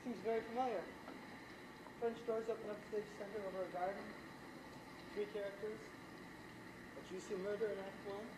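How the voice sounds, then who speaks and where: A man's voice: high voice, little pitch movement, brisk, pauses often, close to an everyday voice. A man acts out a young writer turned double-crosser in a stage thriller. He is smug and taunting, with clipped theatrical lines. The sound is echoey and buried in heavy noise, as from a theater stage. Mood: tense. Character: cunning young male writer, cocky double-crossing accomplice. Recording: echoey, heavily noisy amateur stage play recording.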